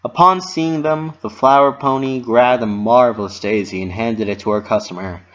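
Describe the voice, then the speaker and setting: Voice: mid-range voice, normal speed. Storybook narrator, slightly dull recording. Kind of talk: dramatic storybook reading.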